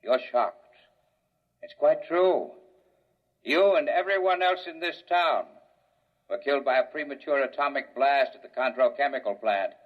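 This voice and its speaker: A man playing a calm, menacing male scientist villain in a 1950s radio drama, old dull recording. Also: medium pitch, normal speed, pauses often, lightly acted. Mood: condescending.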